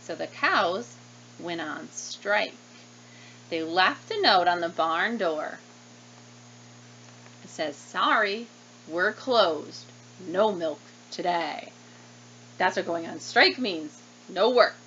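A woman's voice: fairly low pitch, takes it slowly, long pauses. A woman in her thirties reading a picture book to children like a teacher, expressively and with questioning asides. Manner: animated, questioning, child-directed. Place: small room indoors at desk. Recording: slightly dull webcam sound, faint noise.

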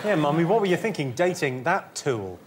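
High, animated man hosting a quiz. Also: brisk. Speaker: quiz show host. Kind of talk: comedy panel show hosting with quips. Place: large TV studio before an audience. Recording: TV studio with loud audience noise.

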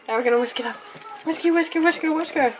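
A woman's voice: sits high, quick pace, a little hiss or hum. Playful young woman cooking at home. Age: young adult. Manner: playful, giggly. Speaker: daycare worker cooking. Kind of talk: casual chat while cooking. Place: home kitchen, indoors. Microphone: camera mic at a short distance.

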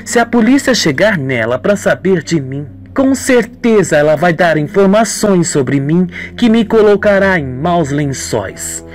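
A woman's voice: lowish voice, expressive, measured pace, few pauses, soft background music. A narrator voicing a scheming, two-faced young woman in a radio soap, with feigned sorrow and haughty defiance. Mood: defiant. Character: scheming, falsely sweet young woman villain.

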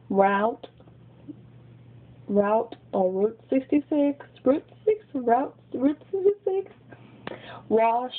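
A giggly young woman with a Mexican American accent, chatting casually into a webcam in a small bedroom. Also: a little high. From Los Angeles, grew up in Texas. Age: young adult. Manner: giggly, chatty, repeats words. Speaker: vlogger. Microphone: webcam built-in mic. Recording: tinny, lo-fi webcam audio.

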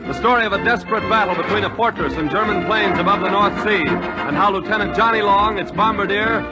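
A man's voice: sits high, brisk. A man announcing a scripted 1940s radio drama. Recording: dull 1940s radio broadcast, loud music.

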